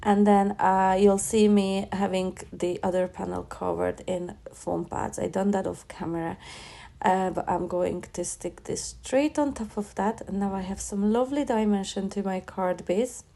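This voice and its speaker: A woman's voice: medium pitch, steady tone, measured pace, vocal fry, mellow. A woman with a stuffy, nasal voice from a head cold, chattily narrating a craft demonstration as a tutorial host. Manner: chatty, enthusiastic, self-correcting.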